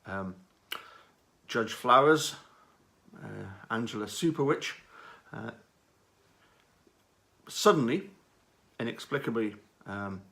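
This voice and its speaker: Halting, deliberate man giving commentary. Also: medium pitch, lively intonation, gravelly, pushed and bright. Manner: halting, deliberate, with frequent pauses and repetition. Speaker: true-crime commentator. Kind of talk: unscripted case commentary.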